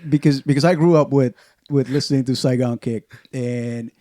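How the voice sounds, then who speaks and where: A man with an Indonesian accent hosting a casual podcast chat, talking in halting starts with many restarts. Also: medium pitch, fairly even intonation, mellow, no room sound, studio quality. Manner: halting, many restarts and fillers, laughs often. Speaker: podcast host.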